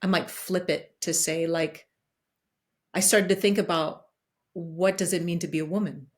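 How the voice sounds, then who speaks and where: A woman's voice: on the low side, steady tone, normal speed. A woman speaking as a podcast guest, reflective and searching, with frequent fillers and restarts. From Guatemalan and Danish roots, partly raised in the United States. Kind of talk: reflective conversational talk.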